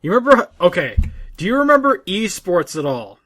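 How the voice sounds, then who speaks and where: Male podcast co-host, a little high in pitch, rambling, halting, sweary casual talker. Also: very animated, a bit bright. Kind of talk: casual chat.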